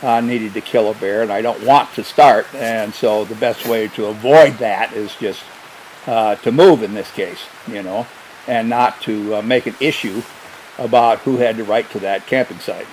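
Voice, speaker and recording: An older man, a former wildlife biologist, telling stories in an unhurried, hesitant way with many fillers. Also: fairly low pitch, a little hiss or hum, poor audio. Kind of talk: casual storytelling.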